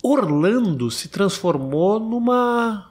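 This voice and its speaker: A man's voice: high-pitched, lively intonation, slow pace. A man hosting an interview, chatty and playful, asking questions and telling anecdotes. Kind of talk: casual interview chat.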